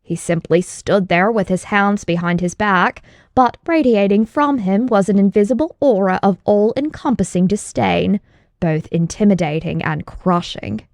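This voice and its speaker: A woman's voice: medium pitch, normal speed, darkish tone, no room sound. Woman narrating an audiobook, dry sound. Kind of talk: scripted fantasy narration.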